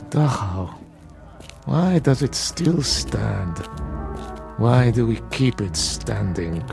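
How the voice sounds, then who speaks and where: Grave doctor, slow and gravelly. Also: male voice, mid-range voice, animated, full character voice. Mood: grave, troubled. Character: solemn, dutiful male doctor troubled by horror. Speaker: actor in a radio drama. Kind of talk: dramatic radio play acting. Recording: radio drama with music underneath.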